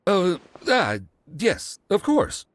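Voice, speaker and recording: A man's voice: sits high, wildly swooping pitch, drawn-out words, slight background noise, full character voice. A man voicing an aloof, dutiful brother in a video game, with terse and slightly hesitant speech. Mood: reserved.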